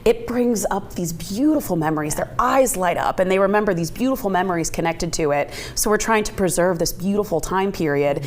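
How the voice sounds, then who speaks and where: Effusive American woman, lowish voice, slight noise. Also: a little fast, hardly any pauses. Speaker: theatre company co-founder, interview guest. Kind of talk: casual interview chat.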